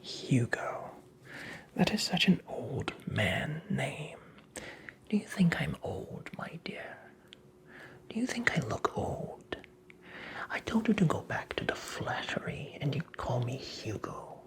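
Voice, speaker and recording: A performer playing a creepy, teasing, very old male magical imp in a whispered ASMR roleplay, with breathy, creaky, theatrical delivery. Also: medium pitch, big pitch swings. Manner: slow, theatrical, taunting, toying with the listener.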